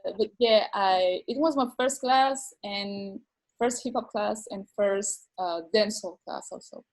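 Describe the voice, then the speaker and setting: A woman from France, a dancer and teacher, telling her story in an interview with many pauses, fillers and repeated words. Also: medium pitch, a little mellow. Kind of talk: video call interview.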